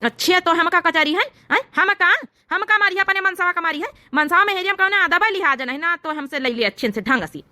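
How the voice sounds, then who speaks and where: Woman acting cartoon village husband, Awadhi accent, bright forceful tone, dry recording, exasperated, argumentative, grumbling. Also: extremely high pitch, animated, a little fast, few pauses, no room sound, full character voice. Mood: indignant. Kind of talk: exaggerated cartoon comedy dialogue.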